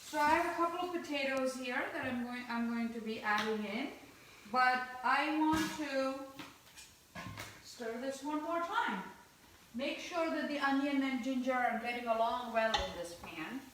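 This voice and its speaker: A woman's voice: sits high, a little slow, noisy background. A woman from India hosting a live home cooking demonstration, chatting through each step as she stirs. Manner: chatty, explaining each step while stirring. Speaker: cooking show host. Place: home kitchen. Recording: echoey kitchen from far off.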